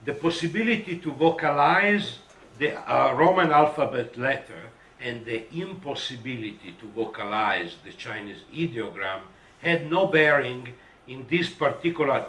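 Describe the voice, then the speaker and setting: A man's voice: medium pitch, a bit bright. Echoey, careful academic man. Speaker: research scientist speaking on a panel. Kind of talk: unscripted academic answer to questions. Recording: echoey hall, distant microphone.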